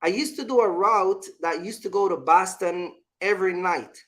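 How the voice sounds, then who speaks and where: A man's voice: high-pitched, moderate pace, a bit bright. A man talking casually as a vlog host, giving business advice and personal stories in an emphatic, repetitive way, often checking in with the listener.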